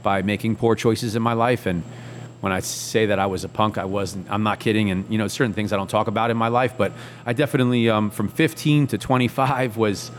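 A man in his thirties talks about his life as a chef in a casual interview. His voice sits on the low side and his manner is easygoing and unhurried, full of fillers and restarts. A faint hiss runs under the recording. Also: fairly even intonation.

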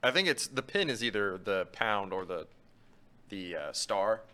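A man's voice: fairly low pitch, expressive, measured pace, pushed and bright, clear, resonant tone. A man co-hosting a sports show, talking casually.

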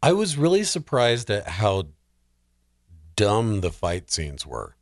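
A man chatting casually as a podcast guest, expressive and peppering his sentences with filler words. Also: on the low side, slow pace, no echo, clean studio sound. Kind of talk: casual chat.

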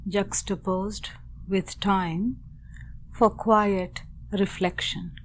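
Slow-paced woman guiding meditation. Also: medium pitch, steady tone, slight background noise. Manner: measured, deliberate, short phrases with long pauses. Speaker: meditation guide. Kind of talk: guided meditation narration.

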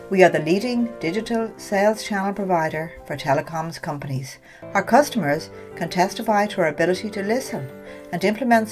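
A woman's voice: fairly low pitch, a little mellow. A woman giving a polished read of a business advertisement. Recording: voice over guitar music. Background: guitar music.

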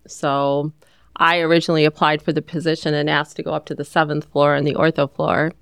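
A woman who works as a hospital nurse, speaking earnestly and gently as a podcast guest. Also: sits low, level tone, a little fast, creaky, clear, resonant tone, no room sound, studio quality. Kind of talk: casual interview chat.

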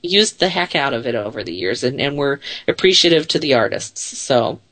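A woman's voice: very deep voice, lively intonation, normal speed, fairly bright tone, dry recording. Chatty self-deprecating woman in her forties. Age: 40s. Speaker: radio show host. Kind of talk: casual radio talk show chat.

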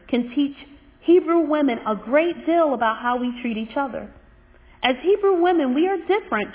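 Lady teaching a sermon, slow and earnest, sounds like over a phone. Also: sits high. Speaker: religious teacher. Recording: tinny phone-like audio.

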